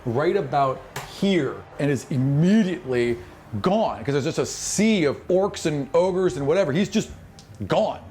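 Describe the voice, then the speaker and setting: A man's voice: a little high, lively intonation, a little slow, darkish tone. A man working as game master, improvising narration of a tabletop fantasy game and acting out sound effects as he goes. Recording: noisy room recording.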